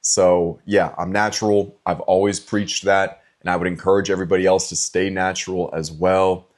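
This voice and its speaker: Low-pitched young man in his twenties, easygoing vlogger reading out and answering fan questions. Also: normal speed. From California, USA. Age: 20s. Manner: relaxed, chatty, frequent ums and likes. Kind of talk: casual Q&A vlog.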